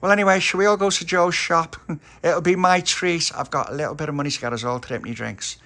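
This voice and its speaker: A man's voice: on the high side, steady tone, fast, sharp tone, pitched above the performer's own voice. A man voicing a fussy, easily embarrassed young woman who scolds. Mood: fretful. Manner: scolding, fretful.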